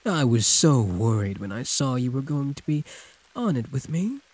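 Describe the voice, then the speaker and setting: A man voicing a huge, possessive, teasing werewolf prisoner with a husky, breathy drawl, in an intimate audio roleplay. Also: medium pitch, very animated, normal speed, darkish tone. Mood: urgent, protective. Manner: drawling, teasing pet names, predatory purr.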